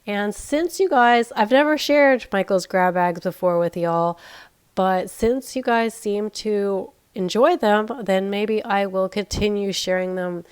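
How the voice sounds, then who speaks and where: A woman's voice: mid-range voice, measured pace, smooth. A woman in her thirties, nasal and crackly as she recovers from being sick, chatting casually while unboxing at home. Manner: chatty, thinking aloud, self-deprecating. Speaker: unboxing vlogger. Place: indoors at home, carpeted floor. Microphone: camera pointed down at items, speaker off-screen.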